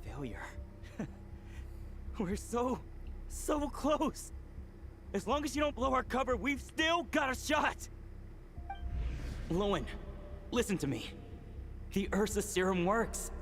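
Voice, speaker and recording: An actor playing a desperate, secretive male scientist in a video game, speaking in an agitated, halting, dramatic way. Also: extremely high pitch, sing-song, a bit bright, a little hiss or hum, full character voice. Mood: desperate, pleading. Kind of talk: English video game voice acting.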